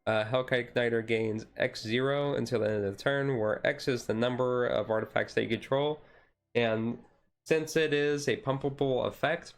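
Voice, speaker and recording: A man giving casual commentary on a card deck as a streamer, thinking aloud in a halting way. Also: mid-range voice.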